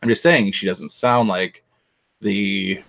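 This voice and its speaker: A man's voice: on the low side, steady tone, slow, a bit bright. A man co-hosting a livestream, casually chatting and teasing the others with firm opinions.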